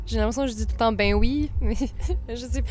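Hesitant woman musician, mellow voice. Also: fairly high pitch, a little fast, a little hiss or hum. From Quebec, Canada. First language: English. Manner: hesitant, many fillers and restarts. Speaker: musician guest. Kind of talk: casual interview answers.

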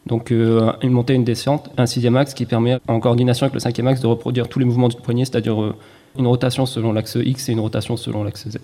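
A man's voice: medium pitch, little pitch movement, fast, mellow. A man giving a hesitant technical explanation as a technician. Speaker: technician explaining industrial robots.

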